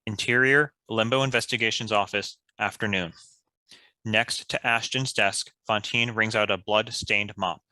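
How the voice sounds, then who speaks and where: A man's voice: fairly low pitch, steady tone, measured pace, pushed and bright, no echo, low-quality recording. A man reading scripted stage directions as a narrator.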